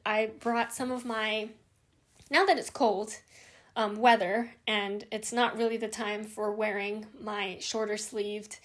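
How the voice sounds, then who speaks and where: A young woman from Hawaii hosting a knitting vlog, chatting casually and rambling with many fillers and restarts. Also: on the high side. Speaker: knitting podcast host.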